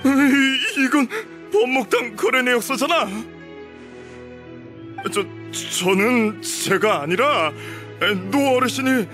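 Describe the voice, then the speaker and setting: An actor playing a shifty, defensive shop owner in a video game, blustering and indignant. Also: male voice, extremely high pitch, animated, slow pace, pushed and bright, soft background music, heavily acted. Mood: flustered, panicked. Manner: stammering, flustered, indignant.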